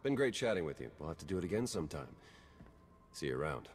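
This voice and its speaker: A man's voice: extremely low pitch, very animated, fast. An actor playing a wry, cynical male spy in a video game, dry and understated. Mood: conspiratorial. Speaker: intelligence agent character. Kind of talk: video game cutscene acting. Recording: long hall reverb, noisy ambience behind.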